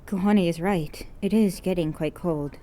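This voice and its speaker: A woman voicing a calm, polite, quietly teasing teenage boy in a story reading, measured and straight-faced. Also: fairly low pitch, lightly acted. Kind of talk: acted dialogue in fan fiction reading.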